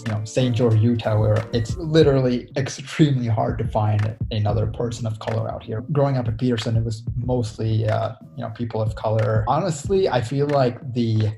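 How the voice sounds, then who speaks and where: A young man from Sri Lanka answers interview questions in a relaxed, reflective way, full of fillers. His voice has a gravelly edge at a middle pitch. Faint music plays underneath. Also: fairly quick, hardly any pauses. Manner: reflective, chatty, many fillers. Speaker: interview guest. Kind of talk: casual interview.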